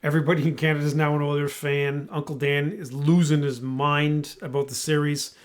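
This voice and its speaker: A man co-hosts a casual sports podcast with a voice a little higher than most men's. He teases his partner, throws out leading questions and gives confident opinions on the games. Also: level tone, brisk. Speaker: podcast co-host.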